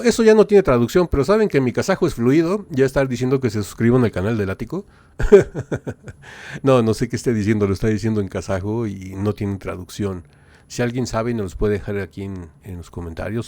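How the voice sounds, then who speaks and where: A man's voice: on the low side, warm tone, no room sound. A man hosting a reaction video, translating on the fly and hesitating often with fillers. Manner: hesitant, filling pauses with "eh" and "este" while translating. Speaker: reaction channel host. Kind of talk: casual live translation and commentary.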